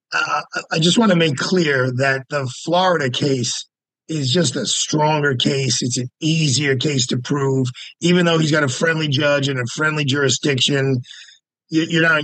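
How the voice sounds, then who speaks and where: A man's voice: a little high, fairly bright tone, dry recording. Blunt, emphatic man guesting on a podcast. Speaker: defense lawyer and radio host, guest. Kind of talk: interview guest.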